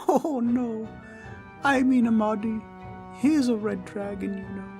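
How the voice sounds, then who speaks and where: A performer voicing a small, friendly teddy bear, naive and earnest, speaking gently and politely in an ASMR comfort roleplay. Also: mid-range voice, steady tone, measured pace, music in the background, natural voice. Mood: earnest, innocent. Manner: gentle, innocent, polite, chatty.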